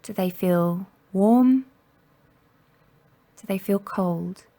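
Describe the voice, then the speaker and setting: A woman guiding a meditation in a gentle, soothing and unhurried manner, leaving long pauses between instructions. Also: medium pitch. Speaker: mindfulness meditation guide. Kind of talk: guided body scan meditation narration.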